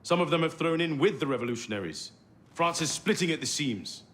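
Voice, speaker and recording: An actor playing a stern assassin council master in a video game, curt and solemn in delivery. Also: male voice, a little high, lively intonation, fairly quick, fairly bright tone. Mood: grave, stern. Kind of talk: dramatic video game voice acting.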